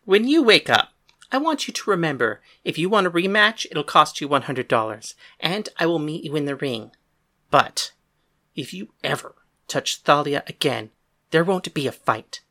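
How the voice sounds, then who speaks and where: A woman's voice: low voice, very animated, moderate pace, fairly bright tone, voice pushed down, heavily acted. A woman voicing a bold, cocky woman fighter who taunts and threatens with dry wit. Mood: menacing. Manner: mocking, coolly threatening.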